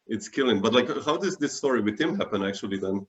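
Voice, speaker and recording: Low-voiced host on a dull line. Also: male voice, expressive, fast. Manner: short encouraging interjections. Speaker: interviewer, host. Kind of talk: casual interview.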